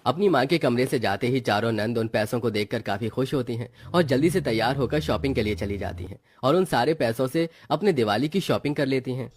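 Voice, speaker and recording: A man serves as narrator of a cartoon story. His voice has an average male pitch. The delivery is scripted storytelling, moving the tale along between character lines. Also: lively intonation, fairly quick, few pauses, no echo, studio quality.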